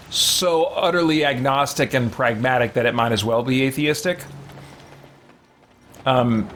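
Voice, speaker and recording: A man's voice: medium pitch, fairly bright tone, slight background noise. A man hosting a gaming series, giving reflective, conversational commentary as he thinks aloud. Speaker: host of a roleplay gaming series.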